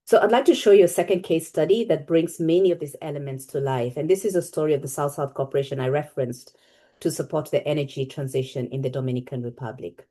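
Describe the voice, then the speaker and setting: A woman presenting on a webinar, walking through slides in a calm, low-key way with the odd filler. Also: fast talker.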